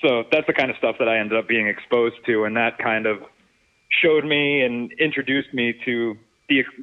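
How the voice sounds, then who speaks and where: A man's voice: medium pitch, normal speed. Man on a tinny remote call. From Rhode Island. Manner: thoughtful, searching for words, many fillers. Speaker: guest, sound engineer and producer. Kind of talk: casual interview chat.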